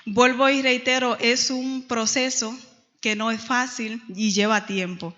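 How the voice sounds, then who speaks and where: Middle-aged Dominican woman, Bible class teacher; bright tone, reverberant hall, muffled recording. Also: on the high side, fairly even intonation, unhurried. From Dominican Republic. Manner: storytelling with many hesitations. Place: church hall. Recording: dull lo-fi church recording with long reverb.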